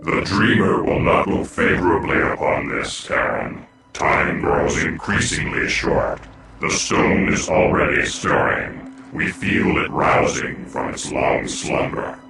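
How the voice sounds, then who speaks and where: A man playing a stern, ominous ancient being in a fantasy game, with a very deep gravelly croak and portentous delivery. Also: sharp tone, slight background noise, exaggerated voice. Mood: foreboding. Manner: slow, accusing, portentous. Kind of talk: fantasy video game cutscene acting. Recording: echoing voice with music behind.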